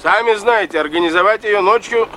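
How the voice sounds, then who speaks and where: An actor playing a courteous, concerned supervisor reporting in an official, earnest way in a Soviet radio drama. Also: male voice, high voice, brisk, sharp tone, slight background noise. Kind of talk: Soviet radio play acting.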